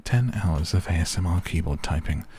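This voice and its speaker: A man giving relaxed ASMR gameplay commentary, musing gently and whimsically to the listener. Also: sits low, moderate pace, a little hiss or hum. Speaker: gaming video host.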